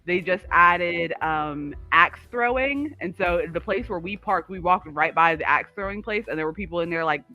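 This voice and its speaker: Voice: mid-range voice, moderate pace, few pauses, pushed and bright, dull audio, soft background music. A livestream co-host chatting casually and informally, telling rambling personal stories peppered with filler words. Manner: informal, filler-heavy with frequent "like".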